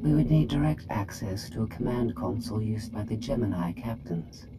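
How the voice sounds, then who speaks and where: A woman's voice: rumbling low, expressive, normal speed, low-quality recording. A woman playing an aloof, proud droid intelligence in a video game, speaking in precise, clinical, formal phrases. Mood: cool, clinical. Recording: loud music behind the voice.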